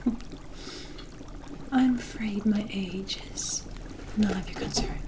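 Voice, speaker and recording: A woman's voice: on the high side, warm tone, a little hiss or hum. A woman playing a mysterious, kindly witch in an ASMR roleplay, speaking in a coy, teasing and cryptic way.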